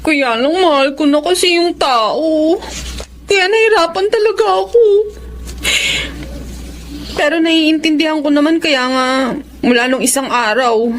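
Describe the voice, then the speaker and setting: A woman's voice: high voice, a little slow, long pauses, a little hiss or hum. A woman with a Filipino accent playing a heartbroken, forgiving young woman in a radio drama. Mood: hurt, resigned.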